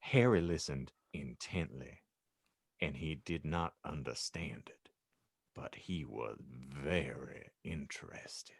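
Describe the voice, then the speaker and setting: Male narrator, theatrical comedy read, unhurried pace, wide swings in pitch. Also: lively intonation, gravelly, slightly rough, no echo, studio quality. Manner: mock-earnest, theatrical. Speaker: podcast host and narrator. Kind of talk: comic dramatic audiobook narration.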